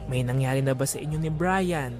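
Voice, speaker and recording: A man acts out a campy young guy, the witty best friend in an audio drama. His delivery is slangy, teasing and frank. Loud music sits under his voice. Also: mid-range voice, brisk, natural voice. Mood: teasing, nosy. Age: young adult. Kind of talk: casual conversational audio drama acting.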